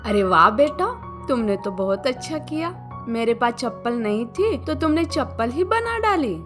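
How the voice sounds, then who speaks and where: A woman's voice: on the high side, lively intonation, normal speed, close to an everyday voice. A woman playing a humble, affectionate old village mother in a cartoon story, exclaiming expressively. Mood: delighted. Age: older. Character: humble, fond old village mother who cleans houses. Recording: music bed behind the voice.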